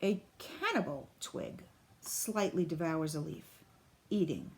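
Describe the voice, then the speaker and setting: A woman reading a children's picture book aloud in an expressive, deliberate storybook style. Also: low-pitched. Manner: deliberate, clearly phrased storybook delivery.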